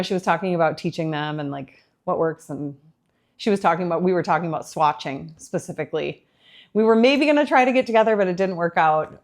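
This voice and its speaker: A woman hosting a knitting podcast, chatting casually to camera in an excitable, rambling way. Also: lowish voice. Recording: echoey room with faint background noise.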